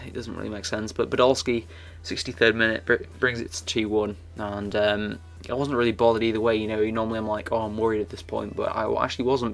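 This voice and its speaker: A young man giving casual, rambling commentary over his football game footage, his voice croaky and full of fillers and restarts. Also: on the low side. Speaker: gaming YouTuber.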